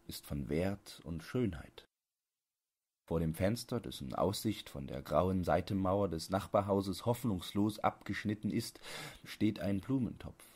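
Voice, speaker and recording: A man reading literary fiction as an audiobook narrator, in a measured, deliberate bedtime-story manner. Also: sits low, dark tone, slightly rough.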